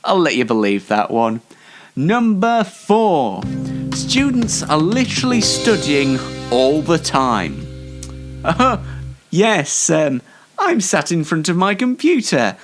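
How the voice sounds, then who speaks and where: A man's voice: a little high, very animated, slow, faint music behind. A young British man hosting an online comedy show, delivering a scripted monologue to camera in a wry, sarcastic, mock-formal way. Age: young adult. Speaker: host of an online entertainment show.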